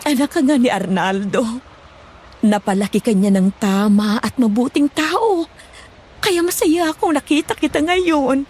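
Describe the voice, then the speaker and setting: A woman's voice: fairly high pitch, a little mellow, slight background noise. A woman playing a remorseful, fearful middle-aged aunt in a dramatized horror story, apologetic and pleading. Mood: grateful, wistful. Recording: music bed underneath.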